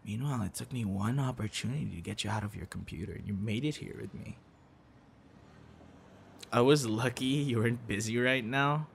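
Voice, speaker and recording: A man's voice: medium pitch, moderate pace, vocal fry, a little hiss or hum, lightly acted. A young man acting a teasing, friendly boyfriend figure in an intimate, casual audio roleplay. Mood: casual, playful. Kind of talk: ASMR boyfriend roleplay, intimate one-sided dialogue.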